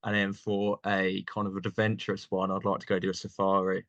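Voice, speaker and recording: A young man from England chatting casually as an interview guest, relaxed and laughing often. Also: low voice, a little fast, dry recording, lo-fi sound. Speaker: guest, online seller.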